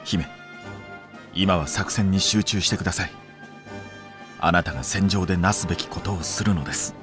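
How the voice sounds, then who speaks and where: An actor playing a calm, formal soldier and adviser in a video game, composed and matter-of-fact. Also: male voice, deep voice, normal speed, over music, close to an everyday voice. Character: calm, formal male military aide. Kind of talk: video game cutscene acting.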